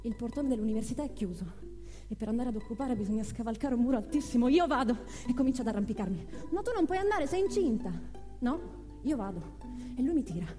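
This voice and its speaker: A woman's voice: fairly high pitch, animated, quick pace, music in the background, close to an everyday voice. An actress playing a spirited young single mother in a theatrical monologue, emphatic and given to repeating phrases. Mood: urgent, defiant. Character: spirited young single mother telling her life story. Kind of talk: theatrical first-person monologue with acted dialogue.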